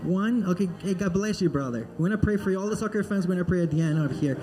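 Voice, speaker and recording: Man, missionary, mellow, slightly high voice, friendly. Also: very fast, faint music behind, low-quality recording. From Peru. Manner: friendly and joking, then earnest in prayer. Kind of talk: church talk and prayer. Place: church sanctuary.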